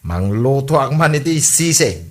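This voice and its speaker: An actor with an Ilocano accent playing an easygoing, bored village husband in a comedy drama podcast. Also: male voice, mid-range voice, sing-song, measured pace. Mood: cheerful, inviting.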